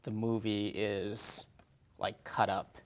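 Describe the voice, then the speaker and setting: A man chatting casually as a podcast guest in a halting way, often saying "like" and correcting himself. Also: fairly low pitch, flat delivery. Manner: halting, many "like"s and self-corrections. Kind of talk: casual chat.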